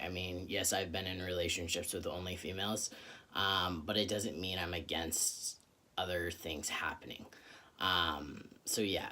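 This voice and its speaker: A young man talking earnestly into the camera in a personal vlog, reflective, with frequent pauses and fillers. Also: sits low, bright, forceful tone. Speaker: vlogger.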